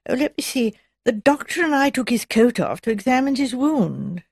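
A woman voicing a polite, thoughtful vicar's wife in an audiobook, soft-spoken, hesitant and genteel. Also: a little high, sing-song, normal speed, creaky, husky, no echo, studio quality, voice pushed up, exaggerated voice. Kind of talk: audiobook character voice.

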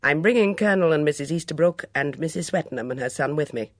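A woman's voice: deep voice, animated, quick pace, no echo, studio quality. Woman playing a curt male police inspector. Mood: urgent. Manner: formal, curt.

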